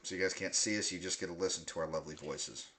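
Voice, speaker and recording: A man's voice: low voice, steady tone, quick pace, a bit bright, a little hiss or hum. A young man hosting a casual podcast, rambling with lots of fillers and frequent laughs. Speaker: podcast host.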